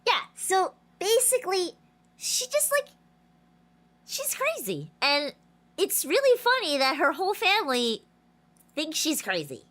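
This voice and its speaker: A woman's voice: very high-pitched, unhurried, long pauses. A woman streamer chatting casually and rambling through a story, her voice stuffy and nasal from a blocked nose. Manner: rambling, animated storytelling with many restarts. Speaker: game streamer. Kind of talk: casual livestream chat.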